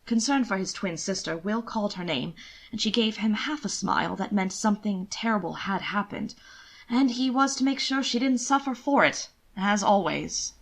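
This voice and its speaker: Expressive woman narrator, very varied intonation. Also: mid-range voice, moderate pace. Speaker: podfic narrator. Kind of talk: expressive scripted story narration.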